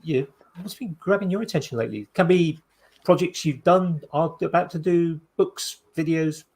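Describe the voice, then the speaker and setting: A man's voice: a little high, moderate pace, a little mellow, breathy edge. A man from Wales co-hosting a podcast, talking loosely and hedging with filler phrases. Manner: loose, hedging with "kind of" and "sort of". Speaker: podcast co-host. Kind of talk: casual chat.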